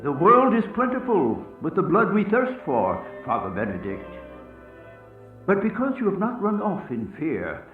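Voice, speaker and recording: An ancient male vampire acted by a man in a radio horror play, taunting and theatrical. His highish voice swings dramatically up and down, and it comes through tinny and echoey. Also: heavily acted. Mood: menacing. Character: ancient male vampire, menacing, mocking tempter of a priest. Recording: tinny band-limited audio, distant, echoey.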